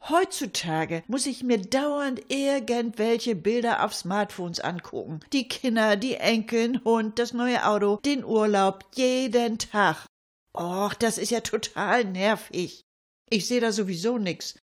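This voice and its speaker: A woman's voice: fairly high pitch, a little slow, lightly acted. A woman playing a chatty older lady in a comic monologue, rambling colloquially and addressing her listeners with mock indignation. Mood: nostalgic, grumbling.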